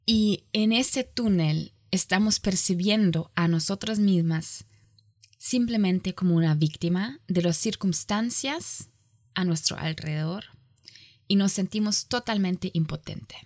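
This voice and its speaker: A woman lecturing in a language that is not her first, halting and often correcting herself mid-sentence. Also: medium pitch, darkish tone, no echo, clean studio sound. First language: not Spanish. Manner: halting, self-correcting, with frequent pauses. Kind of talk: online lecture.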